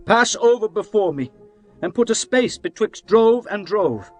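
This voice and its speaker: A man voicing Jacob, an anxious older patriarch who pleads humbly, over soft music. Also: high voice, lively intonation, a little slow, voice pushed up. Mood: urgent.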